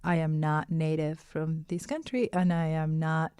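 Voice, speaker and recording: Smooth, low Peruvian-accented woman. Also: little pitch movement, measured pace, dark tone, no room sound, clean studio sound. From Peru. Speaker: illustrator and interview guest. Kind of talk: casual explanatory conversation.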